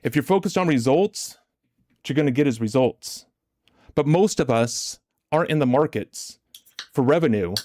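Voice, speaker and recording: A man hosting a livestream as a motivational trading coach, deliberate and emphatic, his chest a little congested from a recent illness. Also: mid-range voice, animated, dark tone, dry recording. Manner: deliberate, emphatic, repetitive rhetorical questions. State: chesty, getting over bronchitis, clearing throat.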